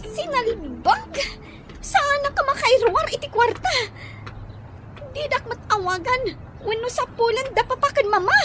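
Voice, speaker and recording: A woman's voice: very high-pitched, sing-song, unhurried, long pauses, over music, heavily acted. A woman playing an irritable, demanding, spoiled woman in an Ilocano radio drama. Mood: frustrated.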